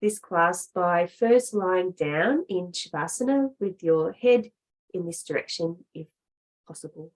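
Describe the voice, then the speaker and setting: Woman, slightly low pitch, yoga teacher, guided meditation, measured instructions, short phrases, long pauses. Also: animated.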